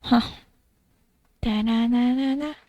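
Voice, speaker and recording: A woman's voice: on the high side, fairly even intonation, slow, mellow, no echo, highs cut off. A woman streamer giving casual, reactive gameplay commentary, musing aloud, trailing off and sometimes reading game text. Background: game music.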